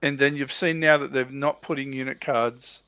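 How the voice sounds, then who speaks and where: A man's voice: mid-range voice, fairly even intonation, moderate pace, pushed and bright, clear, resonant tone, dry recording, muffled recording. A man co-hosting a livestream, chatting casually about tabletop miniature wargaming. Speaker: livestream co-host.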